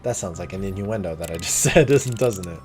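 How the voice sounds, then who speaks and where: A man's voice: deep voice, expressive, fairly quick, darkish tone. A man commentating casually on a game livestream, chatty and thinking aloud, with dry joking remarks. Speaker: game streamer. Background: game music.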